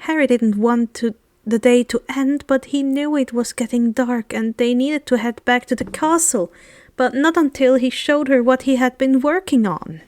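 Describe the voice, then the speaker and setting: High-voiced woman narrating a story. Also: normal speed, a little mellow, no room sound, clean studio sound. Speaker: fan fiction narrator.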